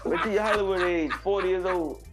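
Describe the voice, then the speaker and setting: A man in his late thirties talks casually on a panel. His voice is high for a man, and his phrasing is slangy and informal. Music plays clearly behind him. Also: fairly even intonation, fairly quick. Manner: informal, slangy, often says 'man'. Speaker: talk show panelist. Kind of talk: casual chat.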